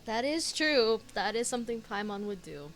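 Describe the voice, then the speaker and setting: A woman's voice: on the high side, very animated, normal speed, a bit bright, a little hiss or hum. A woman streaming a game and reacting casually, often in brief informal interjections. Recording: game music behind the voice. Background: game music.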